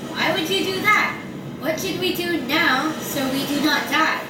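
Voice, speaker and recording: A woman's voice: sits high, measured pace, noisy background. A woman voicing a frightened, panicky sailor with urgent exclamations in a puppet show. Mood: alarmed. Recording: distant, roomy sound under loud music.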